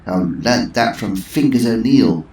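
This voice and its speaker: A man's voice: fairly low pitch, animated, measured pace, a little mellow, pitched above the performer's own voice. A man doing a sly, tart old woman. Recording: roomy echo.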